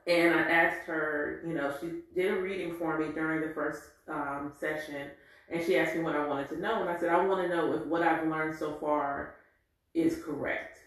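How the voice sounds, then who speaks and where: A woman in her forties telling a candid, reflective personal story in an animated way. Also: sits low, normal speed. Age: 40s. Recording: very echoey room.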